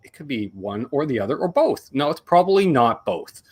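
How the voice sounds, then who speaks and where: A man's voice: mid-range voice, very animated, brisk, a little mellow, husky. A middle-aged man with a Canadian accent, hosting a casual live stream, rambling and joking as he reads out names while his voice is going. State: losing his voice, coughing. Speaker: host.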